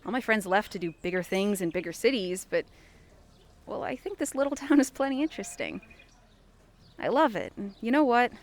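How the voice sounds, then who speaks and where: A woman's voice: medium pitch, expressive, brisk, natural voice. A woman playing an earnest small-town reporter in an audio drama, straight-laced, with a dry, probing manner. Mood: earnest, wry. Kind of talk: scripted audio fiction acting.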